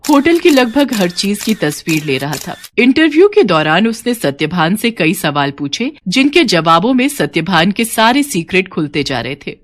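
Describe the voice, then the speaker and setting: A woman narrating a scripted moral story in an expressive storytelling manner. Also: medium pitch, moderate pace, hardly any pauses.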